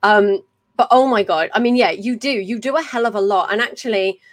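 A woman, hosting a casual chat over a video call, talkative and quick to laugh and swear. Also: medium pitch. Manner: chatty, swears freely, laughs often. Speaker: podcast host. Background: faint fan hum.

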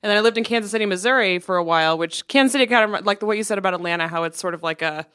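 A woman's voice: medium pitch, rapid-fire, fairly bright tone, smooth. A woman from Omaha, Nebraska, chatting casually in a talk show, with many likes and hedges. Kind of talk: casual conversation.

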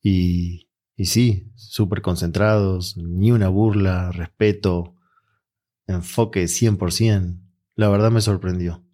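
A man with an Argentine accent, a mental coach answering interview questions in a relaxed, reflective way. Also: low-pitched, slow. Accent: Argentine Spanish. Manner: relaxed, reflective, with frequent fillers.

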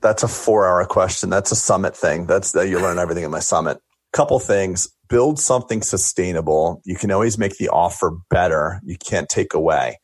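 A man's voice: sits low, a little fast, slightly rough, no room sound. A man in his forties, a talkative dentist guest chatting in a casual interview, reflective and joking as he answers. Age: 40s.

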